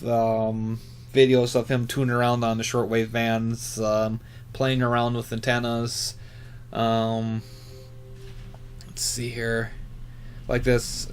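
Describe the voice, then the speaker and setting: A man with an average-pitched voice talks off the cuff like a hobbyist vlogger. His delivery is laid-back and rambling. He halts often, leaning on fillers as he gathers his next thought. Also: slight background noise. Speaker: hobby radio YouTuber. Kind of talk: casual unscripted chat, reviewing channels.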